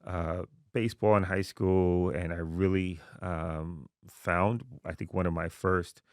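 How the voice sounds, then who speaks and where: A middle-aged man from Queens, New York, born in Haiti, answering interview questions in a relaxed, reflective way with many fillers. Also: low voice, slow pace, dry recording, studio quality. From born in Haiti, raised in Queens, New York. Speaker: podcast guest, university dean.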